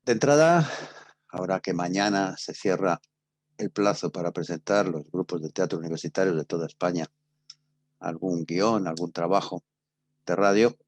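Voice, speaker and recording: An older man with a Castilian Spanish accent giving an introductory lecture as a teacher, speaking in short, halting phrases. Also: sits low, no echo. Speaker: teacher giving a radio theatre workshop.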